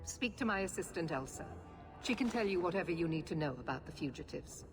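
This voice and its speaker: An actress playing a stern, zealous knight commander in a video game, formal and imperious. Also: female voice, on the low side, expressive, fast talker, close to an everyday voice. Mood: imperious, challenging. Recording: long hall reverb, music behind.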